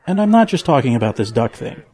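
A man's voice: mid-range voice, sing-song, fast, a little mellow, close to an everyday voice. A man playing an earnest, preachy pig with an admonishing manner, in a children's audio drama. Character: earnest, preachy male pig with a fussy nervous streak.

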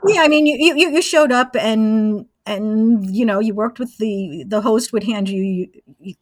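A woman's voice: fairly high pitch, measured pace, no echo, rough recording. A woman in her sixties recounting her past step by step in a conversational interview, as the guest. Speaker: interview guest, former college radio engineer.

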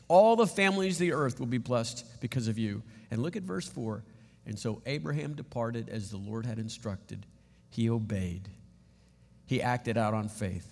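A man preaching a sermon, emphatic and animated, often repeating words as he drives points home. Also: a little high, gravelly, long reverb tail. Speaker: pastor.

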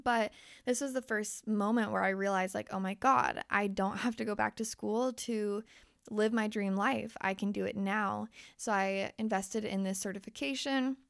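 A woman's voice: medium pitch, steady tone, normal speed, creaky, no room sound, clean studio sound. A young woman podcast host and life coach who is losing her voice. She talks candidly and swears freely as she speaks straight to her listeners. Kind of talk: casual motivational podcast monologue.

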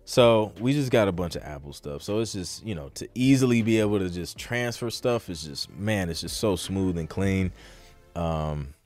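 A man's voice: deep voice, lively intonation, normal speed, darkish tone, dry recording, soft background music. A man hosting a podcast, answering questions in a casual, rambling way, thinking aloud with lots of filler phrases. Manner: rambling, thinking aloud, frequent "like" and "you know". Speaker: podcast host. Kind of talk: casual live Q and A talk, reading and answering questions.

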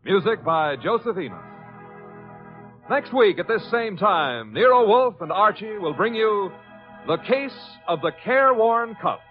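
A man's voice: sits high, very animated, measured pace. A man announcing closing credits in scripted 1950s radio style. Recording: muffled old radio broadcast. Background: music.

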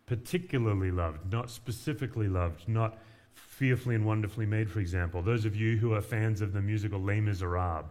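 A man's voice: fairly low pitch, darkish tone. A man from Western Australia preaching as a guest pastor, conversational with light humor and frequent fillers. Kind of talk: sermon.

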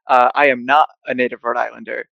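A man's voice: mid-range voice, level tone, fast, gravelly, sharp tone, no echo, muffled recording. A young man from Mexico, a guest on a podcast, talking in a friendly, joking way over a video call from home. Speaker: podcast guest, agency founder. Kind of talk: casual interview. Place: home, recording remotely.

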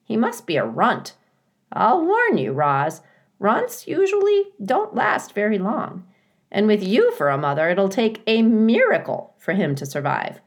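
A woman's voice: mid-range voice, wildly swooping pitch, measured pace, pitched above the performer's own voice. A woman voicing a blunt, fussy, motherly female goose in a children's storybook, with squawking, emphatic, opinionated delivery. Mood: frank, fussing. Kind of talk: children's storybook character reading.

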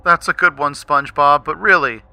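A man doing a shrill teenage girl whale, emotional and pleading. The voice is pushed high and bright, with a rough edge and faint music under it. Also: steady tone, moderate pace, exaggerated voice. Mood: upset. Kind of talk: fan creepypasta reading.

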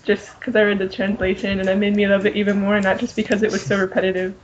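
A woman chats casually as a podcast co-host, enthusiastic and full of slang, quick to giggle. Her mid-pitched voice comes through slightly dull, lo-fi audio with a faint noise behind it. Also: level tone. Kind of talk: casual fan chat. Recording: muffled lo-fi audio with background noise.

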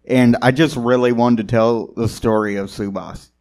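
A man's voice: medium pitch, steady tone, darkish tone. A man co-hosting a casual podcast chat who laughs a lot and swears as he talks. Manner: laughs a lot, drawn-out, profane. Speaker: podcast co-host.

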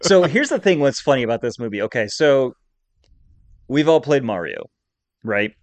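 A man's voice: mid-range voice, sing-song, moderate pace, no echo. A man co-hosting a podcast, chatting casually in a talkative, rambling, conversational way. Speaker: podcast co-host.

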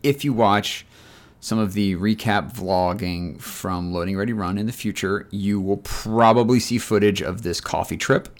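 A man's voice: fairly low pitch, steady tone, normal speed. A man with a scratchy voice telling rambling, chatty stories in a casual chat as podcast co-host.

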